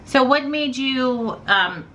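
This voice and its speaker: Bright, gushing woman co-host. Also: high voice, animated, slow, a little hiss or hum. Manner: eager, gushing, heavy use of "like". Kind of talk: casual interview chat.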